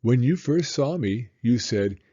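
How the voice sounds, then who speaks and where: A man's voice: mid-range voice, animated, a little slow, warm tone, slightly rough, dull audio. A man voicing a cheerful young boy in a storybook, talking eagerly and affectionately to his dad. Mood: cheerful, proud.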